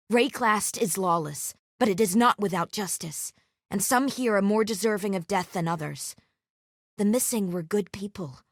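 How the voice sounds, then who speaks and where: A solemn woman warrior of a fantasy video game, acted out by a woman. She speaks in formal, measured phrases with earnest conviction and a strong sense of honor. The voice sits at a middle pitch, in a dry sound free of room echo. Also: close to an everyday voice. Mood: grave, formal. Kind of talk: scripted game character dialogue.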